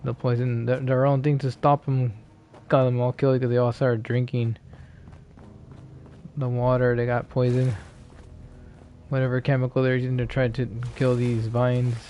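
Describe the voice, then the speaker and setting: A man's voice: mid-range voice, completely flat delivery, moderate pace, long pauses, mellow, a little hiss or hum. A man livestreaming a video game with casual, reactive commentary, swearing freely. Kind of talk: casual live gameplay commentary.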